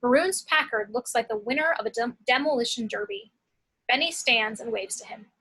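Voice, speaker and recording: A woman's voice: on the high side, a little fast, pushed and bright, breathy edge, low-quality recording. A woman narrating action descriptions from a script at an amateur table read.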